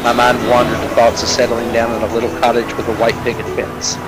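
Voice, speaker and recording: A man playing a deadpan, terse police detective narrating a parody of old crime radio drama. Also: mid-range voice, fast, loud music behind the voice, close to an everyday voice, poor audio. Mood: deadpan, dry. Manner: deadpan, terse, matter-of-fact.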